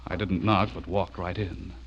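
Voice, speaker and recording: A man's voice: low-pitched, flat delivery, moderate pace, gravelly, a little hiss or hum. An actor playing an anxious young newspaperman who narrates a horror tale in a dramatic, foreboding 1940s radio style. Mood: grave, fearful. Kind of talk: 1940s radio acting, first-person narration. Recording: muffled vintage radio recording.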